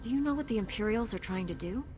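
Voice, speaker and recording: A woman playing a calm, dutiful Jedi Knight heroine in a video game, with an earnest, composed delivery. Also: fairly high pitch, fast talker, a little hiss or hum, close to an everyday voice. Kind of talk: scripted video game dialogue.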